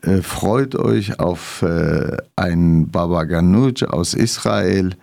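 A man's voice: low-pitched, slow pace, vocal fry, mellow. A man from Syria, a restaurant owner answering interview questions in hesitant German with many fillers.

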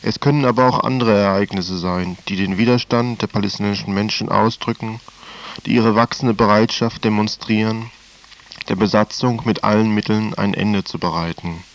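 Low-voiced man presenting radio news. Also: fairly even intonation, lo-fi sound. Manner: measured reading with occasional fillers and self-corrections. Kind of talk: news commentary.

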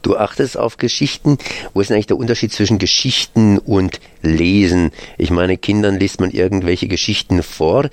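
A man's voice: sits low, moderate pace, no echo. A man acting as interviewer, putting questions to a guest in a conversational style. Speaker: interviewer, host.

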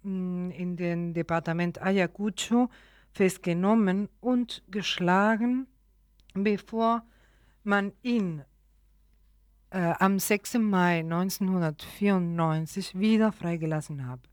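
A woman reads a radio news report at a very slow pace, stumbling and pausing as she goes. Her voice sits fairly low, and the sound is dry with no room echo. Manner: halting reading with stumbles and pauses. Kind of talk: read-out human rights news report.

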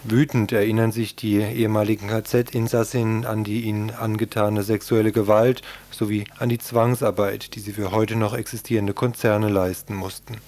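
A man's voice: lowish voice, flat delivery, normal speed, hardly any pauses, darkish tone. A man narrating a scripted radio news report.

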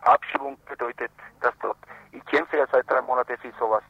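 Male activist phoning in to radio, non-native, mid-pitched and husky, gropes for words in broken grammar, muffled phone sound. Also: fairly even intonation, a little fast, no echo. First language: not German. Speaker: activist spokesman for Roma protesters, radio phone-in guest. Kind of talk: live phone interview, spontaneous report and appeal.

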